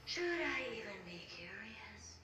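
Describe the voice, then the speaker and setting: A woman's voice: medium pitch, sing-song, unhurried, very noisy. A woman voicing a reluctant, unimpressed young woman in anime game dialogue, with a dry, grudging manner. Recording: distant echoey sound with loud music.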